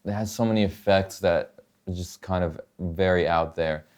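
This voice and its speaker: A man's voice: deep voice, normal speed, darkish tone. A man chatting casually and demonstrating gear in a laid-back, colloquial way in a home studio. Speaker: guitarist and producer, interview guest.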